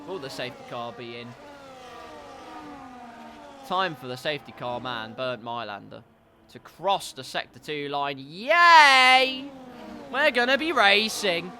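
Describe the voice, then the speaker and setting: A man's voice: a little high, measured pace, pauses often, noisy background. Congested man commentating a sim race. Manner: wry asides, gets loud and excited at crashes. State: congested, stuffy nose.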